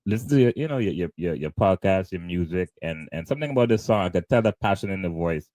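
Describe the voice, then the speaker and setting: A man's voice: sits low, lively intonation, quick pace, mellow, no room sound. A man hosting a podcast, asking questions and affirming often with "yeah, man". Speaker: podcast host. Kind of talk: casual interview conversation.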